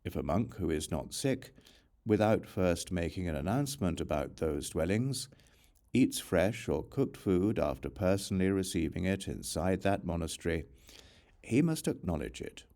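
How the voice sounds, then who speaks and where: Low, gravelly male scripture narrator. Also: animated, warm tone. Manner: measured, formal recitation. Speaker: audiobook reader.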